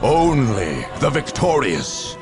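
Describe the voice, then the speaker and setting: An actor narrating a grim sci-fi war game in a grave, portentous, theatrical manner. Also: male voice, medium pitch, huge pitch swings, slow pace, exaggerated voice. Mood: grave, ominous. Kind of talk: dramatic scripted game cutscene narration. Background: music.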